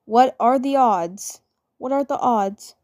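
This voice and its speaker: A woman with a high voice improvises role-play narration. She goes very slowly, stumbling and repeating words as she builds each sentence. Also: a little mellow.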